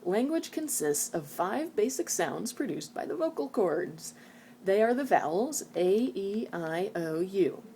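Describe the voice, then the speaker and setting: A woman with a soft-edged, mellow voice at a medium pitch speaks in an earnest, reflective way, like a vlogger sharing ideas from a book. There is noticeable noise in the recording. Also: very animated, measured pace. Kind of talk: reading book passages aloud and informal reflective talk.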